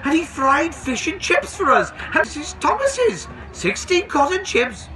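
A man voicing an earnest, worried, excitable male engine driver in a storybook reading. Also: extremely high pitch, unhurried, voice pushed up, heavily acted, rough recording. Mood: excited. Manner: earnest, indignant. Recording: outdoors with background bustle.

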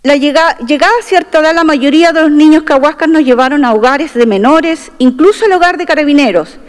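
A woman's voice: sits high, brisk. A middle-aged woman delivering a formal, earnest speech at a podium microphone in a large assembly chamber. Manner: earnest and proud, stressing key phrases. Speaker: constitutional convention delegate. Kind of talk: formal opening speech, partly read aloud. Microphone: desk microphone at a podium. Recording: long reverb of a large chamber.

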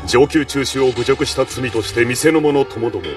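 An actor playing a stern, dignified imperial governor judging food in an anime, speaking with solemn formal authority. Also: male voice, medium pitch, normal speed, close to an everyday voice. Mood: stern warning. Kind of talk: anime acting. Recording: distant sound under loud music.

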